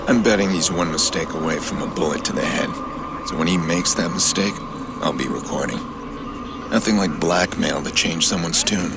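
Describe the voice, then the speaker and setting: An actor playing a brooding, calculating vigilante hacker in a video game, with a low gravelly voice and terse, cold delivery. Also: male voice, normal speed, very noisy. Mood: cold plotting.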